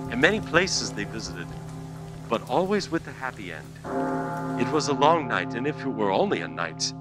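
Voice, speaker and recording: A man giving scripted storybook narration as the narrator of a radio play. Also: mid-range voice.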